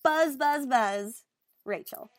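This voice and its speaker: A woman hosting a podcast, reading a listener's email aloud with deliberate emphasis. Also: sits high, over music. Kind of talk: dramatic reading of a fan email.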